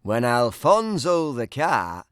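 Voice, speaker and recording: A man reading a rhyming children's story aloud in an animated, dramatic way. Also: medium pitch, slow pace, clear, resonant tone, no echo, clean studio sound. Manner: theatrical, dramatic delivery. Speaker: storybook narrator.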